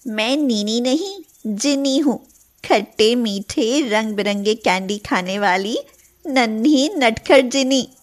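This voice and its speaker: Woman doing a kind lil genie girl, playful, high voice, takes her time, fairytale cartoon. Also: takes it slowly. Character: tiny playful magical candy genie girl, kind benefactor. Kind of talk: animated fairy tale dialogue.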